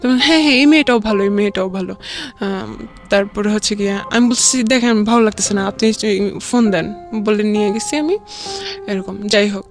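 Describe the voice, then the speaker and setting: A woman's voice: medium pitch, brisk, soft background music. A young woman with a Bangladeshi accent tells a personal story in a casual, conversational way, often acting out quoted dialogue. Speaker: guest telling a personal life story.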